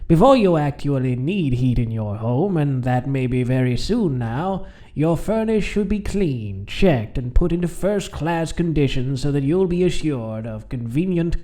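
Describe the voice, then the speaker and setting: An actor playing a courteous heating expert, giving a formal, measured 1940s-style radio advertisement. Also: male voice, medium pitch, mellow, lightly acted.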